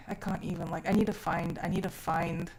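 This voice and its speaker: Expressive woman chatting informally on stream. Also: on the low side. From born in South Africa, grew up mainly overseas from age six. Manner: informal, sweary, expressive, quick to laugh. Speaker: live streamer chatting with viewers. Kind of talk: casual chat.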